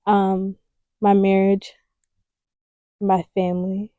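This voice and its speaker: Mellow young woman, dry recording. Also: mid-range voice, monotone, takes it slowly, dry recording, muffled recording. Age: 20s. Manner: easygoing, laughs often, speaks in short affirming replies. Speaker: podcast co-host. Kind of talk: casual chat.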